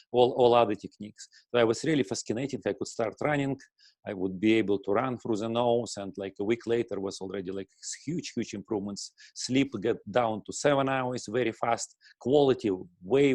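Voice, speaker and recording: Middle-aged Russian-accented man, interview guest, mid-pitched, dry close sound, halting delivery with frequent throat clearing. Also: a little mellow, no echo. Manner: halting, many fillers and restarts, clears throat often. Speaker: guest, breathing teacher. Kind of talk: casual interview answer.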